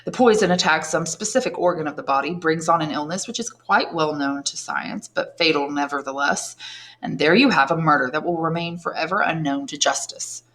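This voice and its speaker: Woman reading a suave nobleman: calm, knowing, courteous, lower-pitched storybook voice. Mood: smooth, knowing. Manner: measured, courteous, lecturing.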